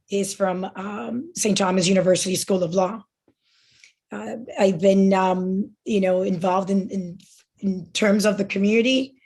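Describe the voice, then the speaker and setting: Woman in her 40s, flat even delivery, earnest campaign pitch with ums and restarts. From Miami. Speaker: judicial candidate. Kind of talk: campaign speech at a candidate forum.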